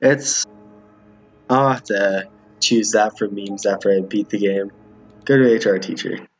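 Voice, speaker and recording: A man's voice: lowish voice, unhurried, breathy edge, faint music behind. A man giving casual game commentary as a streamer, reading dialogue aloud and often stumbling over or repeating lines. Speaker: streamer playing a visual novel with viewers. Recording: muffled, roomy home recording.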